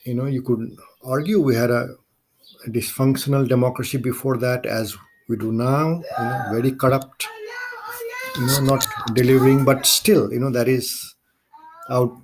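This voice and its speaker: Man, Nepali accent, medium-pitched voice, podcast host, solo monologue, deliberate halting phrasing. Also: slight background noise, poor audio.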